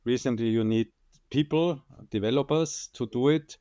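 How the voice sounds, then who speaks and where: A man's voice: medium pitch, normal speed, mellow, clean tone, no room sound, clean studio sound. A man with an Austrian accent, a guest on a podcast interview, explaining ideas with plenty of fillers and the odd joke, speaking into a condenser microphone. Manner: explanatory, joking, many fillers. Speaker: guest enterprise architect.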